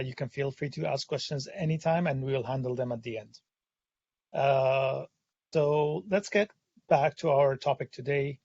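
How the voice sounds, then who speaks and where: Hoarse man presenting a webinar. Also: mid-range voice, a little mellow, highs cut off. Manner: hesitant, many "uh" fillers. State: hoarse, has lost his voice. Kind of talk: technical webinar explanation. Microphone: studio condenser microphone.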